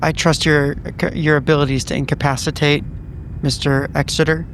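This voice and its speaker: A man with a somewhat higher voice roleplays in a tabletop game. He speaks in an understated, slightly hesitant way, with faint background noise on the recording. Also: flat delivery, normal speed, fairly bright tone. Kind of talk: improvised in-character roleplay.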